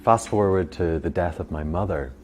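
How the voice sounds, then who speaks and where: A man with a Belfast accent, a meditation teacher, speaking slowly and with long pauses. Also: low-pitched, darkish tone, faint music behind. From Northern Ireland. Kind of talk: reflective personal account.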